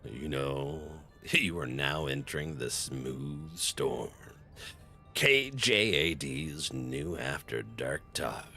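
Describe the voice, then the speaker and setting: A velvety late-night jazz radio DJ, as a streamer puts him on, crooning low and unhurried over faint music. His voice sits very deep yet bright and forward, sliding slowly through each phrase with easy swagger. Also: animated, full character voice. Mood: smooth, sultry. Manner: slow, sultry crooning delivery.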